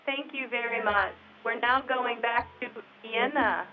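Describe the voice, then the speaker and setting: A woman moderating a live event, reading out children's questions in a clear, formal manner. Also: a little high, measured pace, noisy background. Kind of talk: moderating a live link with schools. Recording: dull lo-fi audio with a crowd behind.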